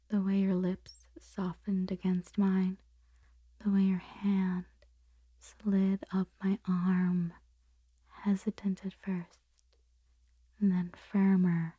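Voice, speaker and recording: Woman performing a conflicted lady boss, forbidden longing, ASMR roleplay, breathy whisper, low-pitched, close dry sound. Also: dry recording, muffled recording. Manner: slow, intimate, halting delivery. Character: conflicted female boss confessing forbidden desire to her employee. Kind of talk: ASMR romantic roleplay monologue.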